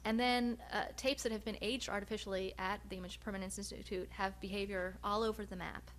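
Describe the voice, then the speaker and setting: A woman researcher giving a research presentation, speaking in a measured, hesitant way with frequent pauses. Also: medium pitch, fairly even intonation, fairly bright tone. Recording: noticeable background hum and noise.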